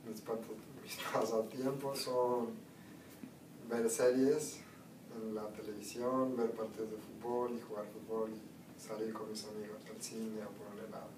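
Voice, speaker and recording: A young man from Mexico giving relaxed, plain interview answers in a small indoor room. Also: fairly low pitch, unhurried. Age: young adult. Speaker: student interviewee. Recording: distant echoey room, clear noise.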